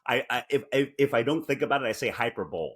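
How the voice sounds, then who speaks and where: A man's voice: mid-range voice, lively intonation, fairly bright tone, breathy edge. A man co-hosting a casual talk show, rambling and often breaking off mid-thought to start again. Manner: halting, rambling, self-interrupting. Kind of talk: casual chat.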